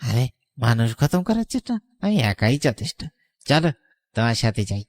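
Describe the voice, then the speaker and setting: A man's voice: medium pitch, big pitch swings, moderate pace, no echo. A brash hired killer from a cartoon horror tale. The voice swoops wildly up and down. He blusters like a gang boss, exaggerated in every phrase, with a coward underneath. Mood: cocky, eager. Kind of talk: animated horror story acting.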